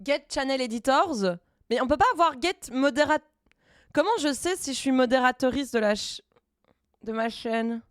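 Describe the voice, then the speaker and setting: A woman streamer chatting casually while she codes live, thinking aloud in halting bits and dropping in English coding terms. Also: sits high, clear, resonant tone, no room sound, clean studio sound. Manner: thinks aloud, halting, many fillers and restarts.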